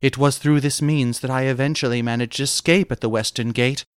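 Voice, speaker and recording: Young fantasy noblewoman, performed by a male reader: soft, smooth, formal and archaic, in a dry recording. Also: medium pitch, fairly even intonation, fairly quick, dry recording, natural voice. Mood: composed, confiding.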